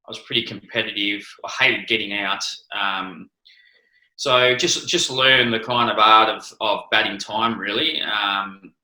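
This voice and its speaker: A man's voice: on the low side, fairly even intonation, a little slow, bright, forceful tone, echoey room, rough recording. A man with an Australian accent answering interview questions, hesitant, with many restarts and ums. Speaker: interview guest, former cricketer.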